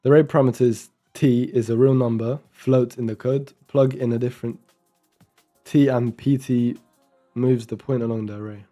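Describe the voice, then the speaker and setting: A young man from Romania talks his way through a coding session, reading lines of code under his breath and chatting between them. His voice is mellow and relaxed, at a middle pitch for a man. Faint music plays behind him. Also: normal speed. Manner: muttering code aloud while typing, chatty asides. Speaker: programming streamer. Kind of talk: casual live-coding commentary.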